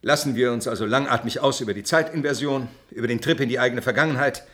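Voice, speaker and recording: An actor playing a cold, cynical and irritable space-agency doctor in a radio play, sarcastic and dismissive. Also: male voice, mid-range voice, quick pace, fairly bright tone, natural voice. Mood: sneering.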